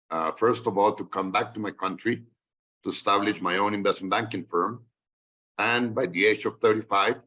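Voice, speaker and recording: A man, a guest telling his career story in a podcast interview in a measured, reflective way. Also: lowish voice, sharp tone, low-quality recording. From Colombia. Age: 60s. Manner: measured, reflective, with frequent fillers and restarts. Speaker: guest, investment banker.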